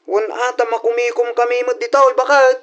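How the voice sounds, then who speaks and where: A woman's voice: fairly high pitch, little pitch movement, a little fast, dull audio, natural voice. A woman playing a mild, agreeable married male farmer in an Ilocano radio drama, with an Ilocano Filipino accent. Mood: busy, agreeable.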